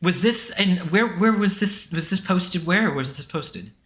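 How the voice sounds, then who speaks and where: A man's voice: sits high, expressive, unhurried, a bit bright. A man co-hosting a podcast in casual banter, emphatic and sweary, often repeating himself for effect. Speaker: podcast co-host.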